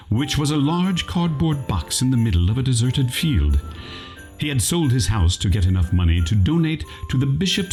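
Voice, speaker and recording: A man's voice: mid-range voice, darkish tone. A man playing the straight-faced narrator of a comic radio play, reading in storybook style.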